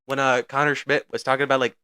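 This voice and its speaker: A young man co-hosting a casual podcast chat, excitable and full of "like". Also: mid-range voice, fast talker, clean tone, dry recording. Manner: filler-heavy, excitable. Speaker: podcast co-host.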